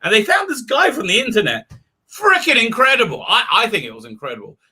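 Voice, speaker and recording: Bright, high-pitched, stammering male host. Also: fairly quick, breathy edge. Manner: excitable, stammering, repeats words. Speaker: talk show host. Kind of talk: casual livestream chat.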